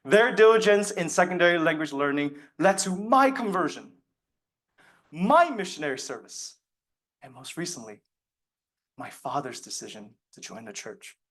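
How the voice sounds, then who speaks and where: A young man whose native language is Mandarin gives a rehearsed persuasive speech. His voice is fairly high for a man and moves widely in pitch. He phrases earnestly, leaning on key words like a student orator. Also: sing-song, normal speed, fairly bright tone. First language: Mandarin Chinese. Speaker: student competition speaker. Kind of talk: prepared persuasive public speech.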